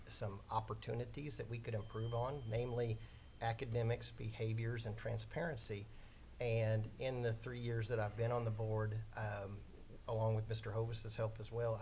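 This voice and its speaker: A man in his forties giving measured, orderly answers at a school board candidate forum. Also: mid-range voice, darkish tone, slight background noise. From Missouri, USA. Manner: measured, orderly, with some fillers.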